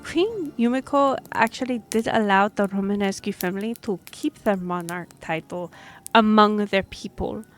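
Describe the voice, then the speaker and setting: A woman's voice: medium pitch, moderate pace, faint music behind, close to an everyday voice. A woman playing a courteous half-elf royal liaison in a fantasy audio drama, speaking formally and explaining things with some hesitation. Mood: formal, explanatory.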